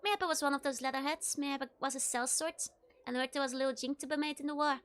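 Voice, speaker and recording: A woman's voice: sits high, steady tone, fast, fairly bright tone. A Dutch woman reading as a grim, weary ex-mercenary man. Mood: grim storytelling. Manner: reads the lines aloud with light dramatic emphasis, Dutch-accented. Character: weary male former sellsword, grim storyteller.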